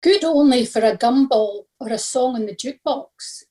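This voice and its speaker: Female reader, fairly high voice, scripted devotional reflection. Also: moderate pace, mellow.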